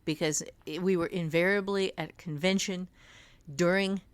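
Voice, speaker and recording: A woman's voice: on the low side, fairly even intonation, moderate pace, clean tone, no room sound. A woman podcast co-host giving casual, conversational advice and telling personal anecdotes. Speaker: podcast co-host on fiction writing.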